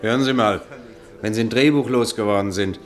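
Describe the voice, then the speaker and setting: Cheeky barfly over soft music, acted by a man. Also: fairly low pitch, a little fast, close to an everyday voice. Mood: cheeky, chummy. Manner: cheeky, chummy. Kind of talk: radio drama acting.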